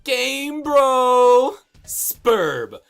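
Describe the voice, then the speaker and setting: A man's voice: very high-pitched, big pitch swings, very slow, vocal fry, a bit bright, faint music behind, heavily acted. A man playing a dim, boastful bro game reviewer in a fan dub, rambling in exaggerated slang. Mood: bro swagger. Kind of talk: acted comic monologue in fan dub.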